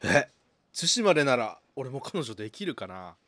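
Comedy radio drama role: childlike, flustered young man acted by a man, very lively intonation, close dry sound. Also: mid-range voice, normal speed, no echo. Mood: hopeful.

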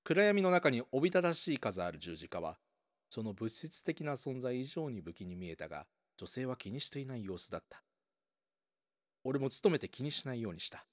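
Man narrating a radio drama, widely swinging pitch. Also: very animated, fairly quick, no room sound, clean studio sound. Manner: dramatic, suspenseful storytelling. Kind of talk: scripted first-person radio drama narration.

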